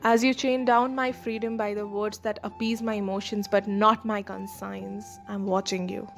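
A woman reciting a spoken-word poem, slow, solemn and emphatic. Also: mid-range voice, little pitch movement, creaky. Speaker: poetry reciter. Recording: music bed behind voice-over.

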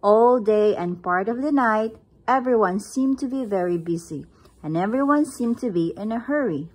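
A woman reads a picture book aloud in a gentle, breathy voice at a very slow, careful pace. Her pitch sits in a middle range, and she sounds like a parent sharing a story at home. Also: poor audio. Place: indoors, at home.

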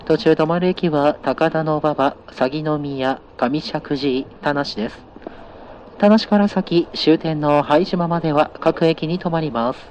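A man's voice: a little high, fairly even intonation, unhurried, a little mellow, a little hiss or hum, rough recording. A man working as a train conductor, making formal, polite announcements to passengers over the train's PA.

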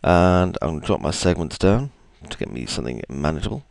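A man with a gravelly, deep voice, chatting his way through a software tutorial with plenty of ums and asides. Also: very animated, fairly quick, no room sound, studio quality. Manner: chatty, self-deprecating, thinks aloud with ums. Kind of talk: screen-recorded software tutorial.

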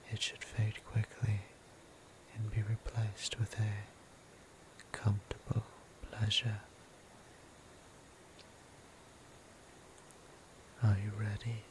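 A man playing a gentle, seductive vampire priest in an ASMR roleplay, whispering breathily with soothing, intimate, formal endearments. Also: lowish voice. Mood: tender, reassuring. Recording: noticeable ambient background noise.

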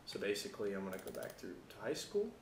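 An American man giving a casual software tutorial, talking informally and thinking aloud as he works. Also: fairly low pitch, sing-song, fast talker, echoey room, noisy background. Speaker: tutorial presenter.

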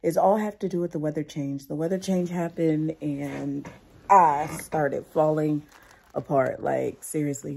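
A woman in her thirties who is sick and losing her voice, chatting casually to her vlog audience about her kids in an animated, talky way. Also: low-pitched, vocal fry. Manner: chatty, animated storytelling with "like" and "y'all". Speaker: vlogger, mother. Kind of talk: casual chat to camera.

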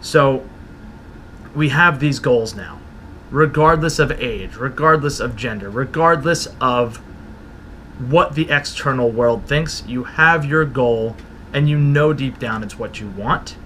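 A man in his thirties hosting a solo podcast from a small room at home, talking slowly and reflectively in an encouraging way into a desk mic. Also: mid-range voice, bright, forceful tone, a little hiss or hum. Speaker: podcast host. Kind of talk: motivational solo talk. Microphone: studio mic with pop filter, camera at desk.

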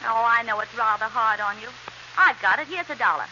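An actress playing a bossy, breadwinning wife in 1930s radio comedy, brusque and condescending. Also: female voice, fairly high pitch, fairly quick, bright, forceful tone. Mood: placating. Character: bossy breadwinning wife in a role-reversal sketch. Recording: dull, hissy 1930s radio recording.

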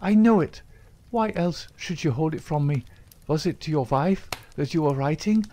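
A man's voice: fairly high pitch, animated, moderate pace, dark tone, a little hiss or hum, voice pushed up, natural voice. A man reading aloud, voicing a young woman who anxiously pleads with her outlaw lover. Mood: suspicious, anguished. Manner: imploring, breathless, emotional.

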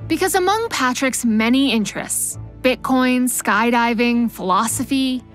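Podcast narrator, woman, bright high register, measured scripted delivery. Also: soft background music.

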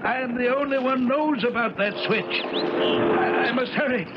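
High, desperate older uncle. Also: male voice, very animated, measured pace, muffled recording, heavily acted. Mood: frantic, straining. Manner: urgent, stammering, repeats himself. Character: desperate older uncle, feverishly determined railway man. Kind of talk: 1970s radio drama acting. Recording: noisy, crackly old radio recording.

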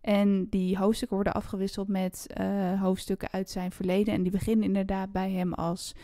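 A woman's voice: mid-range voice, level tone, fairly quick, warm tone, no room sound, clean studio sound. A woman co-hosting a podcast in a casual discussion about books. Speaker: podcast co-host.

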